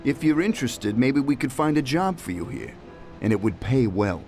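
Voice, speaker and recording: An actor playing a courteous, businesslike mobster in a video game. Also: male voice, mid-range voice, big pitch swings, normal speed, darkish tone, soft background music. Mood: calm, courteous.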